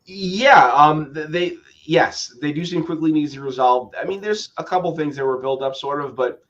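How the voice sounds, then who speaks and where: A man's voice: fairly high pitch, normal speed, a bit bright, muffled recording. A man co-hosting a casual show review, talking hesitantly with many stammered restarts.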